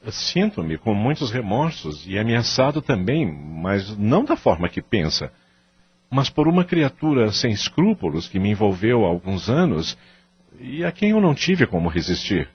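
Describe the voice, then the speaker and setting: An actor playing a remorseful, evasive husband in a melodramatic audio drama, pleading in formal phrases with a Brazilian accent. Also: male voice, fairly low pitch, sing-song, normal speed, husky, no echo, heavily acted. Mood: ashamed, remorseful. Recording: dull lo-fi radio-like audio with music.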